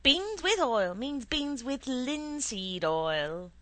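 A woman's voice: lowish voice, flat delivery, slow, no room sound. A woman voicing an exasperated, scolding mother in a storybook, sharply emphatic.

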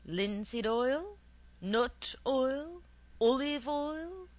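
A woman voicing an impatient male shopkeeper in a storybook, rough and curt. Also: mid-range voice, big pitch swings, unhurried, a bit bright, dry recording. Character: impatient, gruff male bean seller.

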